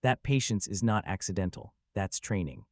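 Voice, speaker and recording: A man giving scripted voice-over commentary, calm and measured. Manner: calm, measured, low-key. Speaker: narrator.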